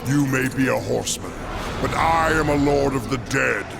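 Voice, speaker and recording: An actor playing a menacing undead lord of the dead in a dark fantasy game, speaking in a grandiose, theatrical growl. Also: male voice, medium pitch, normal speed, over music. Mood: haughty warning. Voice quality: very gravelly, growling.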